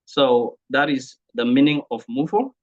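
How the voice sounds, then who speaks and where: A young adult man with a Cameroonian accent, presenting informally to an online group in a deliberate, halting way with repeated words. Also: medium pitch, expressive, dull audio. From Cameroon, English-speaking region. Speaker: speaker sharing testimonial at online training.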